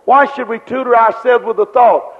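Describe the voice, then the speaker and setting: A man's voice: extremely high pitch, normal speed. A man preaching a sermon with fervent rhetorical questions and repeated refrains. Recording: dull lo-fi recording with long hall reverb.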